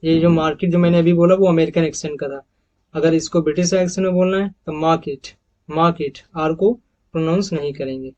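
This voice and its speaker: A man's voice: a little high, fairly even intonation, a little fast, warm tone. A man with an Indian accent teaching English phonetics over slides, repeating each model word several times and calling his viewers friends. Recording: dull lo-fi recording.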